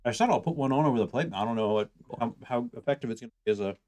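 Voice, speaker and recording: A man's voice: medium pitch, lively intonation, rapid-fire, a little mellow. A man playing a tabletop game, chatting and bantering casually as a player.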